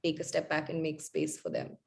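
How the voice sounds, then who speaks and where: A woman journalist speaking on a webinar panel over a video call, thoughtful and halting with many fillers. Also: sits low, very animated, creaky, darkish tone. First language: not Hindi. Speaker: journalist and podcast maker.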